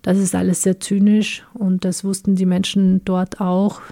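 A woman from Afghanistan, a radio interview guest answering earnestly in a measured way, often pausing to find her words. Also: medium pitch, level tone, mellow.

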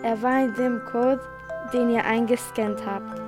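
A woman's voice: on the high side, fairly even intonation, a little slow, close to an everyday voice. A woman playing a smug, gloating famous author who declaims in repeated phrases in a radio play. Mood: smug, insistent. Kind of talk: school radio play acting. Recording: music bed behind the voice.

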